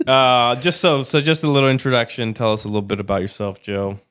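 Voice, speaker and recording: A man hosting a casual podcast, introducing his friends and asking them questions with a relaxed, joking manner. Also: mid-range voice, fast, clear, resonant tone, no echo, clean studio sound. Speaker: podcast host. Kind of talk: casual chat.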